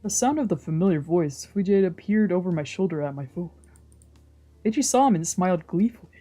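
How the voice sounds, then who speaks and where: A woman's voice: on the low side, animated, fairly quick, dark tone, faint music behind. A woman streamer reading a visual novel aloud as narration, with casual commentary of her own.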